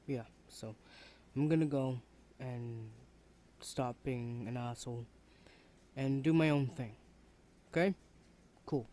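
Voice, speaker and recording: A man playing a rude, defensive young university student in an intimate ASMR roleplay, talking in a sulky, halting way. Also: medium pitch, dark tone, natural voice. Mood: resigned, sulky. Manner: sulky, halting, breathy sighs, swearing.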